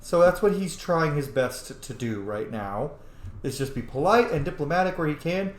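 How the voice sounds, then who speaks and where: Animated, laughing man doing game commentary. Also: fairly high pitch, moderate pace, echoey room. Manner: animated, laughing, casual swearing. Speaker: gameplay commentator. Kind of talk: casual gameplay commentary.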